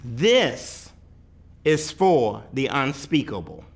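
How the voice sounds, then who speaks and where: A man giving a dramatic read-aloud of a poetic picture book, with deliberate, emphatic phrasing and weighty pauses. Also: medium pitch, very animated. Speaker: reader of a children's picture book.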